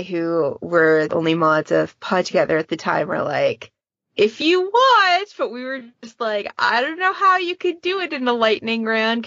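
A woman's voice: medium pitch, a bit bright, no echo. A woman chatting casually and informally on a podcast, with frequent conversational asides. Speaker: podcast participant, fan event moderator. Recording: dull, lo-fi dry voice recording.

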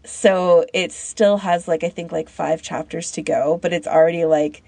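A woman on a podcast panel chatting casually in an informal, halting way, as if thinking aloud. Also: lowish voice, fairly even intonation, dark tone, no room sound. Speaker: podcast panellist recommending fan audio fiction. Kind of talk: casual chat.

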